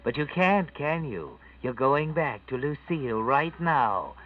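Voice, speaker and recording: An actor playing an ominous, taunting, all-knowing narrator of a 1940s radio suspense drama. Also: male voice, mid-range voice, sing-song, unhurried. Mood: sardonic menace. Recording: tinny distant old radio recording, music behind.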